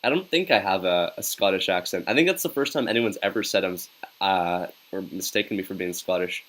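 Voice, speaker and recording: A man's voice: low voice, a little fast, fairly bright tone. A young man hosting a casual live stream, reading viewer comments aloud in an upbeat, chatty way and often tossing in "yo". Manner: upbeat and chatty, says "yo" a lot, hypes up viewers. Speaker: live-stream host reacting to a hockey game.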